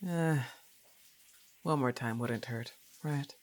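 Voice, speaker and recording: A woman's voice: very deep voice, takes it slowly, very noisy. A woman playing a laid-back, teasing, slightly annoyed young goth roommate in a roleplay audio, with a sarcastic, casual drawl. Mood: relaxed musing. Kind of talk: acted roleplay ASMR monologue.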